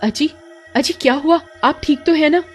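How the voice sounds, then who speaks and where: Woman playing an anxious, high-voiced village wife. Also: moderate pace, darkish tone, faint music behind, lightly acted. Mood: alarmed. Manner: worried, questioning, exclaiming. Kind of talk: melodramatic Hindi horror story acting.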